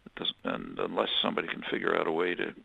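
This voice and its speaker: Gravelly low-voiced man, halting analysis. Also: fairly even intonation, bright, forceful tone, no echo, muffled recording. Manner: halting, many fillers and restarts. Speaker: interviewee, political analyst. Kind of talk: measured spoken analysis, answering questions.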